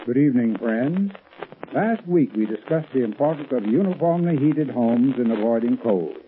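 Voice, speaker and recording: An American man with a husky voice, delivering a scripted 1930s radio advertisement as a company expert. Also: mid-range voice, lively intonation, moderate pace, slight background noise. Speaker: company heating expert giving a sponsor talk. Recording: tinny 1930s radio recording.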